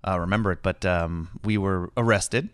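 A man's voice: sits low, normal speed, a bit bright, clean tone, no echo. An American man you'd hear as the guest on a podcast, spinning wry, stop-and-start anecdotes in a clean voice, close and dry on the mic. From Northern California, United States. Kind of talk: casual anecdotal storytelling.

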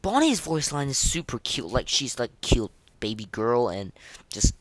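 Lively, halting man reacting casually. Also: mid-range voice. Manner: informal, halting, filler-heavy rambling. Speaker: podcast host. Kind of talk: casual reaction commentary, rating game voice lines.

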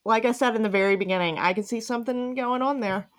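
A woman's voice: fairly high pitch, fast talker. A woman hosting a podcast, chatting casually and enthusiastically about fan fiction, swearing freely. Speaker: podcast host.

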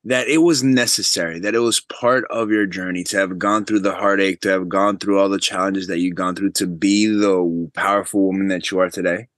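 A man hosting an interview podcast, earnest and encouraging, often giving soft murmured agreement. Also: low voice, steady tone, brisk, hardly any pauses, no echo. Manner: earnest, affirming with soft "mm" cues. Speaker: podcast host.

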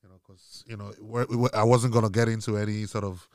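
A man's voice: lowish voice, little pitch movement, a little fast, darkish tone, no echo, clean studio sound. A man from Nigeria, a podcast guest, speaking in a laid-back way, filling with "you know". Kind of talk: casual interview talk.

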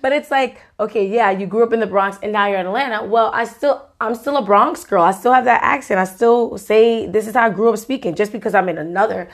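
A woman's voice: mid-range voice, fairly quick, hardly any pauses. A woman co-hosting a podcast in casual chat, laid-back with dry quips. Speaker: podcast co-host.

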